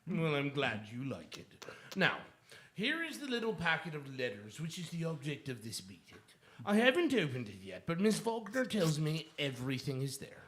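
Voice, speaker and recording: An actor playing a greedy, nervous swindler in a radio drama, halting and stammering between oily politeness and bluster. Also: male voice, a little high, wildly swooping pitch, fairly bright tone. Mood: nervous, evasive. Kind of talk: theatrical radio drama acting.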